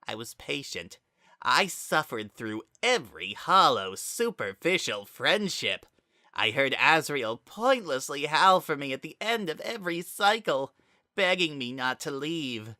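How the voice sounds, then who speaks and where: Possessing child spirit played by an adult narrator for a dramatic story reading: pitched well up, hollow, fervent menace under coaxing calm. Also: dry recording, studio quality. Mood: bitter, intense. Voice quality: maddened, hollow tone. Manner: slow, theatrical, coaxing monologue with deliberate pauses. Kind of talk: dramatic reading of fan fiction.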